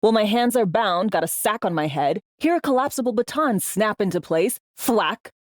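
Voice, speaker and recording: An actress playing a tough, bossy, wry spy handler in a cyberpunk video game, with clipped, slangy, teasing delivery. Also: female voice, medium pitch, animated, normal speed, no echo, clean studio sound, lightly acted. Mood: sardonic. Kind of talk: video game voice acting, briefings and radio guidance.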